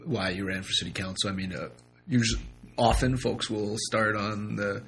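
A man interviewing a council candidate, asking questions with halting restarts and steady "mm-hmm"s. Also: lowish voice, a little hiss or hum.

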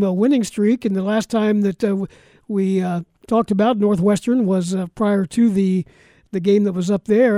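A man's voice: high voice, dark tone, no echo. An American man hosting live sports talk radio, moving between callers, guests and breaks on a close studio mic. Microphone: close broadcast mic.

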